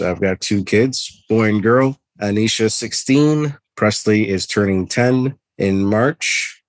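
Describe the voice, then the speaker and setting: A man in his forties from Trinidad and Tobago, a podcast co-host, introducing himself in a relaxed, matter-of-fact way. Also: on the low side, no echo. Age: 40s. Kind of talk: casual podcast chat.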